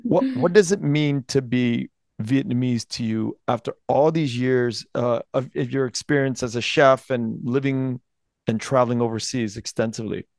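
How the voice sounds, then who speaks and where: A man's voice: mid-range voice, vocal fry, darkish tone, no room sound. A man in his forties hosts an interview podcast from a home studio. He speaks earnestly, often restarting his phrases. His voice is close to the mic in a dry, deadened room. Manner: earnest, halting, many restarts. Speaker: podcast host. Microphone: headphones and studio mic close up.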